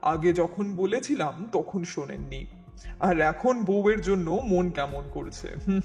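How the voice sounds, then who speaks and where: A man acts out an anxious schemer hiding behind friendly, coaxing talk in a dramatized audiobook. The voice is high for a man, with faint music beneath it. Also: expressive, a little slow, vocal fry, darkish tone, exaggerated voice. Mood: forced joviality.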